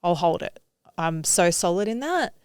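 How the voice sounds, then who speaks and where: A woman's voice: on the low side, sing-song, normal speed, darkish tone, clean tone, no room sound, studio quality. A woman in her thirties co-hosting a podcast, talking casually and upbeat with frequent laughs and fillers. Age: 30s. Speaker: podcast co-host. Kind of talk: casual conversation.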